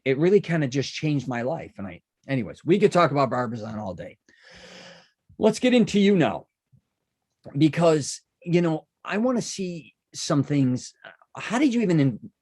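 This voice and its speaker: A man's voice: medium pitch, sing-song, moderate pace, darkish tone, husky. A man from Chicago hosting a podcast, chatting casually and rambling with many asides and restarts. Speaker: podcast host. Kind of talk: casual interview chat.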